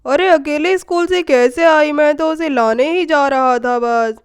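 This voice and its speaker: Woman doing lazy dad making excuses, high pitched, kids cartoon story. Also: unhurried. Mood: surprised, sheepish.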